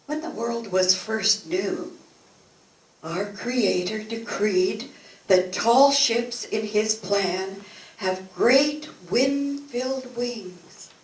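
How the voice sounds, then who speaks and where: An older woman reciting poetry expressively at a community event, with measured, dramatic phrasing. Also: mid-range voice. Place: event room, recorded from a distance. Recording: echoey, noisy room, distant microphone.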